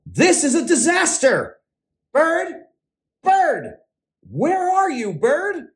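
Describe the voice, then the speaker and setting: A man voicing an anxious, excitable squirrel in a children's storybook, fretful and given to dramatic exclamations. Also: extremely high pitch, extremely slow, heavily acted. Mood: frantic. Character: anxious, excitable squirrel fretting over stolen leaves. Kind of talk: children's picture book read-aloud.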